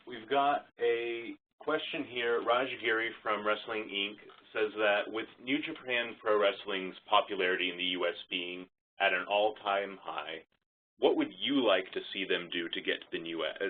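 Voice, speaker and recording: A man's voice: on the low side, steady tone, normal speed. A man moderating a press conference call, relaying questions sent in by journalists. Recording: noisy, tinny phone line with clicks.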